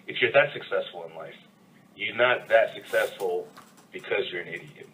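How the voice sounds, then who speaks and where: A man co-hosting a podcast, talking casually and setting out his opinions in a measured way. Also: on the low side, pushed and bright, recorded from a distance, a little hiss or hum, low-quality recording. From United States. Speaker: podcast co-host. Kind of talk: casual chat.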